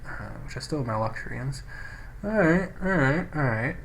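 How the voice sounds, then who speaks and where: A man's voice: mid-range voice, expressive, moderate pace, fairly bright tone. Man on a noisy, poor mic. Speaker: gameplay video host. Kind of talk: casual game commentary, reading in-game text aloud. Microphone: low-quality microphone.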